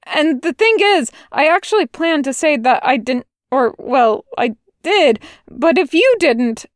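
A woman's voice: high-pitched, expressive, unhurried, no room sound, clean studio sound, voice pushed up. A woman reading a fan audiobook, voicing a flustered, bewildered male demon who stammers and babbles. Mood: babbling.

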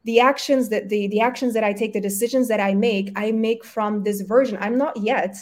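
A woman's voice: medium pitch, fairly even intonation, normal speed, darkish tone. A woman whose first languages are German and Polish, speaking as a guest health coach in a casual podcast conversation, earnest and motivational, often correcting herself mid-phrase.

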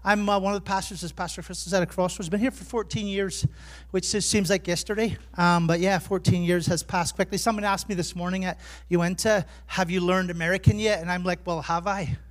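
A man's voice: high-pitched, fairly even intonation, a little fast. An Irish man preaching as a pastor, chatty and self-deprecating, telling humorous personal stories in his sermon. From Ireland. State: jet lagged.

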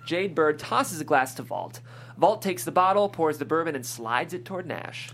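A man's voice: on the high side, lively intonation, normal speed, a bit bright. A man reading stage directions as scripted narration at a comedy table read. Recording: clear background noise and hum.

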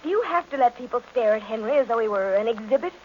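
An actress playing a distressed, guarded young fiancée in old radio drama, earnest and easily indignant. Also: female voice, on the high side, expressive, quick pace, lightly acted. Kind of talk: 1940s-50s radio drama acting. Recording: noisy, muffled old radio recording.

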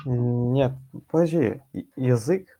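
A man's voice: mid-range voice, animated, slow pace, dark tone. A young man in a casual discussion, answering hesitantly and thinking aloud as he talks.

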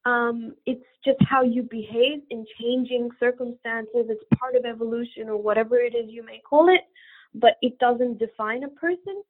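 A woman from Bangladesh talks earnestly as a guest, working through her thoughts as she goes. Her voice sits fairly high, and it comes through a narrow, phone-like line from a remote call. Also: steady tone, moderate pace. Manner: earnest, reflective, thinks aloud. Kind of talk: casual interview answers.

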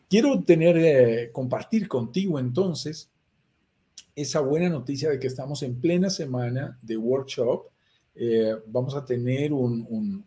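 A Colombian man with a Colombian Spanish accent hosts a live online class. His voice is dark and mellow and sits at a middle pitch. He speaks with eager, persuasive enthusiasm and talks directly to the audience. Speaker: live webinar host for real estate investing. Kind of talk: live-streamed sales webinar. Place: indoors in Bogotá.

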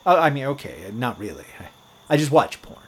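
A man's voice: mid-range voice, sing-song, brisk, fairly bright tone, slightly rough. A young man playing a nervous, eager rookie late-night rock DJ with an awkward, jokey manner in a horror audio drama. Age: young adult.

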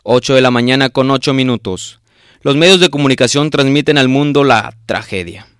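A man narrating a scripted radio drama in a solemn, measured announcing manner. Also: medium pitch, a bit bright, clear, resonant tone, no room sound.

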